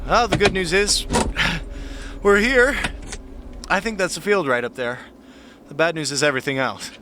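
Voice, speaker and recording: An actor playing a nervous, affable sidekick in an audio drama, hesitant and rambling, with a wry edge. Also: male voice, a little high, normal speed, noisy background, natural voice. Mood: sheepish.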